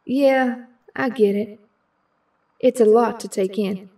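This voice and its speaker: A sympathetic, straight-talking young heroine, played by a woman in an audio drama. Her voice is fairly high yet mellow, heard a little off the mic. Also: slow, distant mic. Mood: sympathetic, reluctant. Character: frank, sympathetic young female mutant hero.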